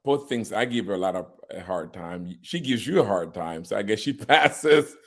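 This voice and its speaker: A man's voice: lowish voice, animated, moderate pace. A man hosting a podcast who talks casually, thinking aloud in a reflective way and laughing often. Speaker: podcast host, organization leader.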